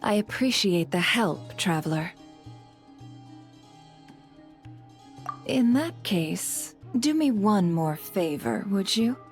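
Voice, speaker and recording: Casting: formal female official, medium-pitched, composed and principled, game dialogue with light music. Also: lively intonation, unhurried. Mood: grateful. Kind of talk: video game voice acting.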